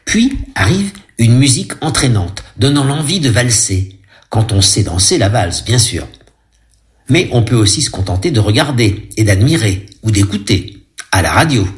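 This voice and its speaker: A man describes a film aloud in a chatty, ironic way, and his pitch moves a lot. He keeps breaking off to joke with his listeners. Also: very animated, normal speed. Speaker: audio describer.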